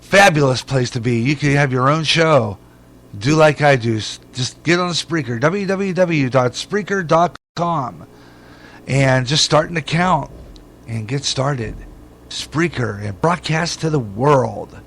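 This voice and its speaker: A man hosting an internet radio show in a casual, folksy way, rambling and halting at times. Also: mid-range voice, a bit bright, slight background noise. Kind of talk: casual radio host talk and station promos.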